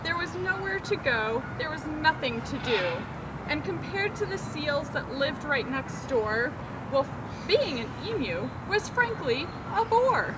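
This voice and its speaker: Female storytime reader, very lively intonation, engaging young listeners, noisy ambient recording. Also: high-pitched, measured pace. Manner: expressive, asks listeners questions about the pictures. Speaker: storytime reader, zoo educator. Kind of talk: children's picture book read-aloud.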